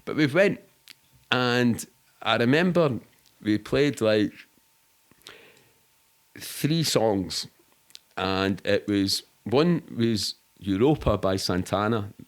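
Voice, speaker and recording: A middle-aged man with a Scottish accent speaks in a fairly low voice. He tells stories as a guest in a casual interview, rambling along in everyday colloquial speech with some swearing. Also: animated, takes it slowly, pauses often. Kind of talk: casual anecdotal storytelling.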